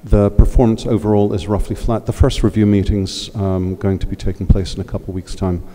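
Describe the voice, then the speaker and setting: A man giving a formal financial report at a meeting, answering questions in a measured, hesitant way with many fillers. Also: fairly low pitch, level tone, dark tone. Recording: reverberant hall.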